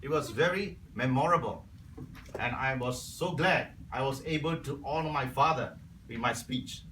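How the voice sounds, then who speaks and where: A man whose first language is Cantonese gives an earnest prepared speech to his club as its incoming membership officer, often clearing his throat. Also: mid-range voice, normal speed, fairly bright tone, a little hiss or hum. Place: club meeting room. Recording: echoey room, from far off.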